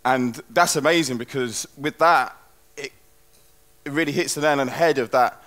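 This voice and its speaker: A man's voice: medium pitch, moderate pace, fairly bright tone. A young man gives a loose, chatty talk on a conference stage through a headset mic, full of restarts. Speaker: conference speaker.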